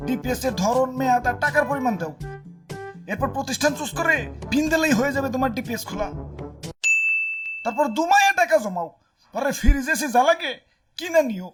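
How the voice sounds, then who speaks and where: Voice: high-pitched, lively intonation, fairly quick, pauses often, music in the background. A performer voicing a boastful, flirtatious cartoon sun with slangy, theatrical delivery and a Bangladeshi accent. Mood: cocky. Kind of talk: comic animated cartoon dialogue.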